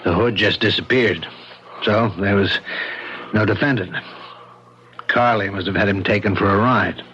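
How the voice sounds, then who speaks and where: An actor playing an earnest, sympathetic police sergeant in an old radio drama, plain-spoken and a little hesitant. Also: male voice, fairly low pitch, normal speed, bright, forceful tone, slightly rough. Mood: earnest, firm. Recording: dull, noisy old radio broadcast.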